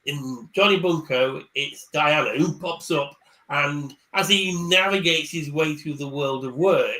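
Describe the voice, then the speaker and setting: Bright, halting man on a call. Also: a little high, poor audio. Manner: hesitant, repeats words, then speeds up. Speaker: career coach guest speaker. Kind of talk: casual chat on a live online panel. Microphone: video call.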